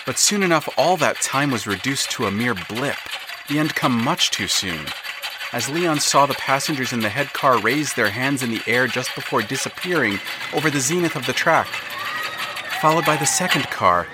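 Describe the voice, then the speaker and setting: A male narrator reads an audio drama with a very lively, rising and falling pitch. He tells the story expressively, swelling with tension and then dropping to hushed tones. A clear steady ambience runs under his voice. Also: normal speed, heavy background noise.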